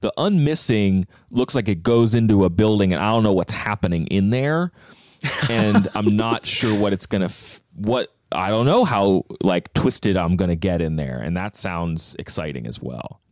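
Deep-voiced man chatting on a dry mic. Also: expressive, normal speed, a little mellow, no echo. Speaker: podcast guest, co-host of a book podcast. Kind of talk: casual chat.

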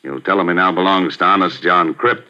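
A deep, forceful gangster boss on old radio. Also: male voice. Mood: menacing. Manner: tough street slang, slow menacing drawl. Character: ruthless male gangster gambling boss, middle-aged, menacing. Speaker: gambling house owner. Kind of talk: 1940s radio acting. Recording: muffled 1940s radio broadcast.

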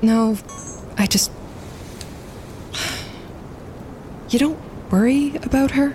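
An actress playing an earnest, composed wilderness photographer on expedition, matter-of-fact and measured, in a naturalistic audio drama. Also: female voice, warm tone, low-quality recording. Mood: uneasy. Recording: clear outdoor ambience and rumble.

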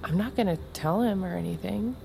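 An actress playing a breezy, quietly manipulative woman in a naturalistic audio drama, chatty, coy and insistent. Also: mid-range voice, fairly quick, creaky.

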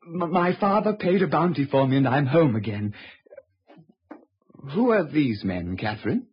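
An actor playing an earnest, naive young husband home from the army in an old radio drama. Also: male voice, on the high side, big pitch swings, moderate pace, breathy edge, exaggerated voice. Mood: flustered. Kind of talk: 1950s American radio drama acting. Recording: dull, lo-fi old radio recording.

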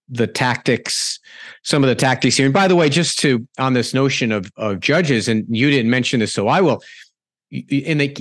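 Male podcast host, halting delivery, middle pitch, dry studio sound. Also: dry recording. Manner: halting, many restarts and repeated words. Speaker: podcast host, former politician. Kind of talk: conversational interview.

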